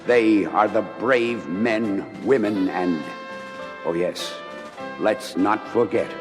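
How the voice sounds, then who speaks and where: A man's voice: lowish voice, slow pace. A man narrating a scripted adventure story in a dramatic storytelling style. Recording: music bed under voice. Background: music.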